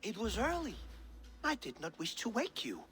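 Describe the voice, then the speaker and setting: A man's voice: sits high, huge pitch swings, normal speed, fairly bright tone, full character voice. An actor playing a flamboyant, self-important male Norse bard in a video game, speaking in a florid, grandiose way. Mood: grandiose, persuasive. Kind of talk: dramatic video game acting.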